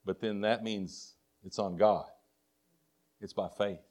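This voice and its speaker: A man's voice: lowish voice, steady tone, slow pace, a little mellow. An older man with a Texas drawl preaches to a congregation, weaving folksy personal stories into his sermon. He talks earnestly, often restarting and repeating words as he goes. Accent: Texan. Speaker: missionary preacher.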